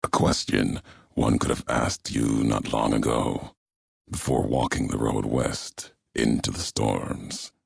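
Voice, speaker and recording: Grim video game wasteland courier: bottomless gravel voice, slow, brooding, ominous monologues in clipped phrases. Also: male voice, steady tone, heavy reverb, exaggerated voice. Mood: somber, bitter. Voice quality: gravelly, very deep, breathy. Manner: terse, clipped fragments, deliberate and ominous.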